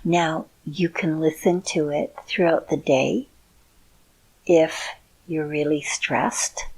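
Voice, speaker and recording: A middle-aged woman talking to her viewers in a slow, soothing and encouraging way. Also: low voice, fairly even intonation, a little mellow, husky, rough recording. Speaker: video host. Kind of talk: heartfelt personal talk to viewers.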